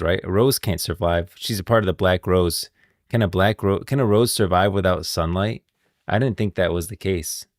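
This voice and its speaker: A man with a deep voice hosts a livestream, captured close and dry. He chats casually and reacts as he goes, using informal slang and often thanking his viewers. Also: no echo. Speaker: livestream host.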